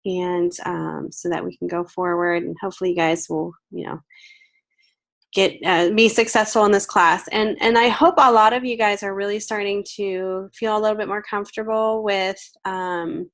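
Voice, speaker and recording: A woman's voice: mid-range voice, fairly even intonation, moderate pace, vocal fry. A woman teaching a recorded online course, a bit nasal from a head cold, chatty and reassuring with many fillers. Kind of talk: recorded lecture.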